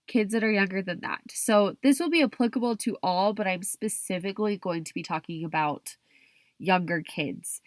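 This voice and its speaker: A young woman hosting her own podcast while stuffy and hoarse from a cold, talking in a chatty way with frequent restarts. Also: lowish voice, dry recording. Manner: chatty, restarts and hedges with kind of. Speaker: podcast host. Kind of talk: solo podcast talk.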